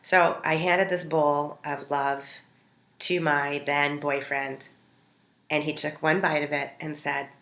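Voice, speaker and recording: American lady doing a casual food vlog, lowish bright voice, roomy echo. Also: level tone, takes it slowly. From Montana, United States. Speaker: home cook hosting a food video. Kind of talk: casual storytelling to camera. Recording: roomy, echoing sound.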